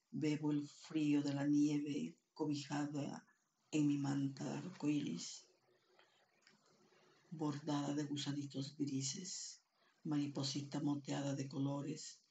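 An older woman poet with an Andean Peruvian accent and Quechua as her first language speaks slowly and solemnly. Her delivery is very even and unhurried, with some gravel in the voice. There is faint steady noise behind her. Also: low voice. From Andean Peru. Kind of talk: introducing and reading own poetry. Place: small plain room indoors.

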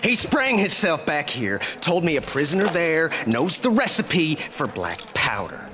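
Slow, bossy wasteland warlord. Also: male voice, fairly high pitch, huge pitch swings, background noise. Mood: commanding, scheming. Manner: emphatic, savouring words, bossy. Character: bullish, commanding wasteland warlord striking bargains. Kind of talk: dramatic video game character acting.

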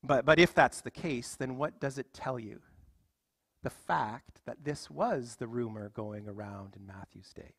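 A man's voice: mid-range voice, measured pace, vocal fry, a bit bright, slightly rough, dry recording, clean studio sound. A man in his thirties preaching a sermon from a church stage, emphatic and full of rhetorical questions. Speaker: pastor. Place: church stage with music stands.

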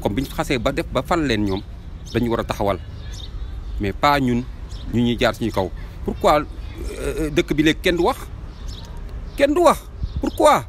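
A man's voice: medium pitch, sing-song, moderate pace, pauses often, a bit bright. A middle-aged man with a Senegalese accent giving an impassioned street opinion, emphatic and full of rhetorical questions. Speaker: street interviewee. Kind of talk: impassioned vox pop opinion, mixing two languages. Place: outdoors in a city street. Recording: outdoor street ambience and traffic.

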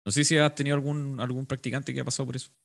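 A man, calmly reading audience questions as moderator on a video call. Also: medium pitch, rapid-fire, gravelly. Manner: calm, brief, hesitant restarts. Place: small room indoors. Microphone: video call, headset.

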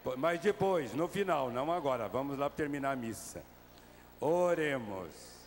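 A bishop in his sixties leading Mass in a huge covered hall, speaking slowly and warmly to the crowd into a handheld microphone. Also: medium pitch, animated. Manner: slow, measured and familiar with the crowd. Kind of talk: celebrating Mass, closing rites and blessing. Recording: long reverb of a large hall, faint noise.

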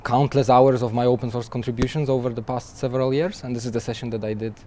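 Young male developer guest, warm mellow voice, easygoing interview talk that trips over itself. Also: mid-range voice, little pitch movement, fast talker, slight background noise. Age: young adult. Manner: chatty, restarts often with repeated words, laughs lightly. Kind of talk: conversational interview answers. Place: conference interview booth, seated in armchair.

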